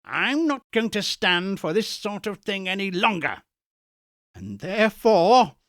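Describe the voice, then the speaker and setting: A man voicing an old miser employer in an audiobook, theatrical and emphatic, swinging between mock gruffness and hearty joy. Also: high voice, very animated, unhurried, a bit bright, dry recording, studio quality, voice pushed up, full character voice. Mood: mock stern. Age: older. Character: gruff-pretending, then exuberantly jolly old miser employer. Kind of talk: dramatic audiobook character reading.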